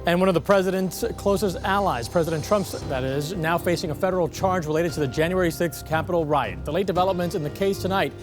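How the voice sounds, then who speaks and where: A man's voice: high voice, quick pace, hardly any pauses. An American man reading scripted news as an anchor. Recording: music bed under the voice.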